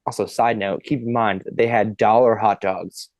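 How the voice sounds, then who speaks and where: A man's voice: lowish voice, fairly even intonation, normal speed, dry recording. A man in his twenties, a podcast guest, telling a long funny story in casual chat, laughing and swearing as he goes.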